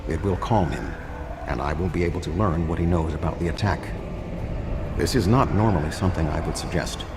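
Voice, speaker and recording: A man playing an older, composed alien admiral in a video game, formal, measured and unemotional. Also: sits low, background noise. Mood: calm, grave.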